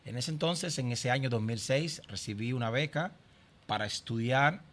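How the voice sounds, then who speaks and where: Dominican guy, middle aged pastor, telling his story on a podcast, normal pitched voice. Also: normal speed, a bit bright. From Santo Domingo, Dominican Republic. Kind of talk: conversational personal testimony.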